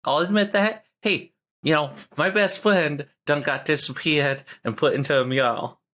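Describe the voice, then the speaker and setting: A tabletop player voicing an excitable young monster hunter, flustered and blustering, repeating protests. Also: mid-range voice, normal speed, natural voice. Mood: indignant.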